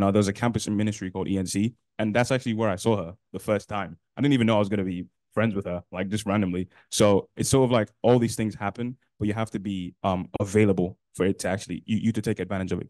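A man's voice: fairly low pitch, a little mellow, dry recording. A young man speaking casually on a university panel, peppering his talk with "like". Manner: casual, lots of "like". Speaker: panelist, alumnus. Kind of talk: panel discussion. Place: university classroom, indoors.

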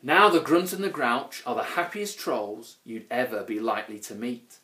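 A man's voice: mid-range voice, moderate pace, sharp tone. A man reading a children's picture book expressively, with dramatic emphasis on key words. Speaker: children's story reader. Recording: echoey room.

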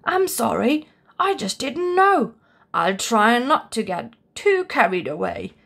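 A woman's voice: fairly high pitch, very animated, takes it slowly, vocal fry, fairly bright tone, heavily acted. A woman voicing a sheepish, apologetic male badger in a children's storybook, meek and eager to please.

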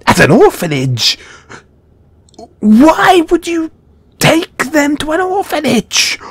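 A man's voice: very high voice, dry recording, heavily acted. A man acting a squeamish, timid gargoyle handler in an audio roleplay, stammering and speaking with nervous, formal politeness. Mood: alarmed, pleading.

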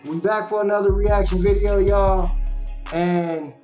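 A man's voice: sits high, fairly even intonation, unhurried, a little mellow, faint music behind. A man hosting a reaction video from a small home room at a webcam, talking earnestly and casually, with a lot of "man" and "y'all". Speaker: reaction channel host. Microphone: webcam at desk.

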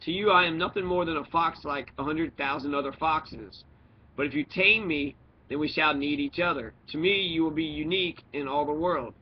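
A man voicing a lonely, philosophical talking fox from a storybook, speaking in earnest, deliberate dialogue. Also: on the high side, natural voice. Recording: dull lo-fi recording with noise.

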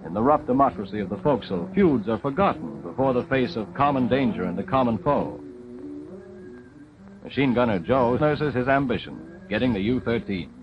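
A man's voice: medium pitch, expressive, moderate pace, a crowd in the background. A man reading scripted wartime documentary narration in a dramatic, solemn 1940s newsreel manner. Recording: dull 1940s film soundtrack.

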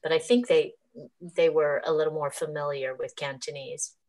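An American woman speaks over a video call from her living room, her voice on the low side. She answers thoughtfully and laughs easily. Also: steady tone, normal speed, darkish tone. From United States. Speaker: actress. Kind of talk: casual Q&A answers. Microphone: laptop webcam on a video call.

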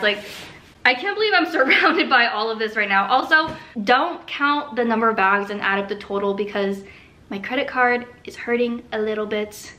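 A woman, chatting to camera in a gushing, excited, emphatic way, like a casual haul vlog filmed at home. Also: a little high. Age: 20s. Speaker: vlogger. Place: living room at home. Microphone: camera a short way in front of her.

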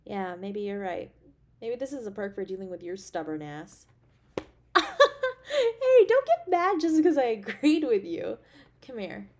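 A woman acts out an affectionate girlfriend in an intimate romantic audio drama. Her voice sits at a middling pitch for a woman. She speaks tenderly and expressively, with an anxious streak and a playful, teasing side. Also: unhurried, pauses often. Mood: caring, coaxing. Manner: tender, expressive, with sighs and soft chuckles. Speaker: voice actor in an audio roleplay.

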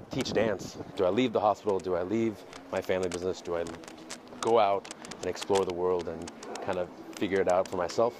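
A man in his thirties, a dance teacher, talks candidly in a walking vlog, reflective and hesitant, recorded on a headset mic outdoors. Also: low voice. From United States. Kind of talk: casual walking vlog monologue. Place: city streets outdoors. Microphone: earphone headset mic, phone at arm's length. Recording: noisy outdoor street recording. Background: street ambience and passing vehicles.

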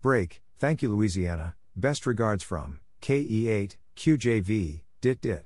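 A man's voice: lowish voice, animated, unhurried, a little mellow, dry recording. A man reading scripted radio exchanges and call signs as a practice narrator, in a clipped, word-by-word delivery.

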